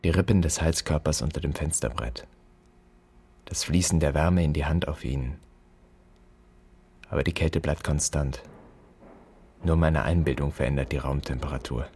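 Casting: embittered son in a radio play, extremely low husky voice, brooding and accusing. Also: male voice, normal speed, pauses often, a little mellow, exaggerated voice. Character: bitter grieving adult son addressing his dead father. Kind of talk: literary radio drama monologue.

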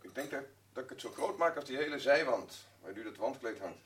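Een acteur die in een hoorspel een stugge zwemleraar speelt, kortaf en soms haperend. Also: male voice, medium pitch, animated, a little fast, fairly bright tone, close to an everyday voice. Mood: hesitant, hospitable. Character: gruff defensive swimming instructor with estranged wife. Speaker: radio drama actor. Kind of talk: Dutch radio play dialogue. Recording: noisy old radio drama recording.

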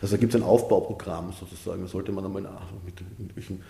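A man's voice: low voice, steady tone, quick pace, gravelly, warm tone. A man with an Austrian accent giving wry, casual interview answers punctuated by short tag questions. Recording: roomy, echoing sound.

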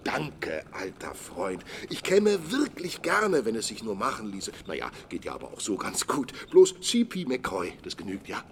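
A man's voice: on the low side, wildly swooping pitch, unhurried, few pauses, a bit bright, a little hiss or hum, exaggerated voice. An actor playing a chatty man wheedling a favour from an old friend in a radio drama. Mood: chummy, wheedling.

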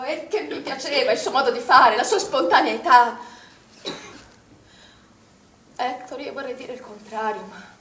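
Agitated, high-voiced anguished woman in charge. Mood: anguished, confessing. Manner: agitated, halting, repeating phrases. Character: anguished, doubt-ridden woman in charge of a swimming pool. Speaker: stage actress. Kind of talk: stage drama acting, emotional monologue. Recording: roomy, distant theatre recording, faint noise.

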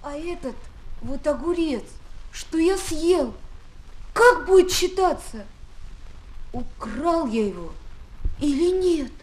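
A woman playing a naive, pleading little boy in a children's audio drama, with a piping, halting, earnest voice. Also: high-pitched, expressive, darkish tone. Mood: relieved, curious. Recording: noisy old 1970s flexi-disc recording.

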